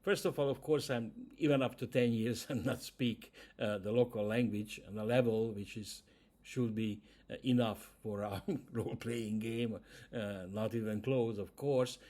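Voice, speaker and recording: A man's voice: on the low side, steady tone, normal speed, warm tone. A man from Hungary with a Hungarian accent, speaking English as a second language, talking casually to camera as host, with frequent hesitations. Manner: hesitant, many "uh" fillers, non-native grammar. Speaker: YouTube channel host.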